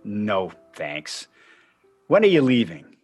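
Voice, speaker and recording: An actor playing an aggrieved, sentimental ex-husband and sports fan in a radio play, speaking plaintively. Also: male voice, on the low side, huge pitch swings, slow pace, darkish tone, slightly rough, faint music behind, full character voice. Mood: hurt, subdued.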